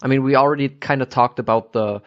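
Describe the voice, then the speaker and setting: A man's voice: medium pitch, fairly even intonation, fast, fairly bright tone. Dull lo-fi recording, man interviewing. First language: German. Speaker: podcast host, interviewer. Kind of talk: casual interview chat. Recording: dull lo-fi audio.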